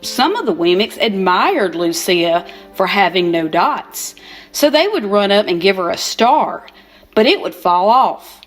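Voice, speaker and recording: An older woman reading a children's storybook aloud in an expressive, unhurried storytelling manner. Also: mid-range voice, soft background music. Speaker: storybook reader.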